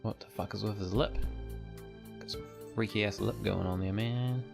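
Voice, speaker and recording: A man's voice: on the low side, fairly even intonation, normal speed, vocal fry. A man giving casual, laid-back live game commentary, muttering wry asides as he plays. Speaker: game streamer. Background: game music.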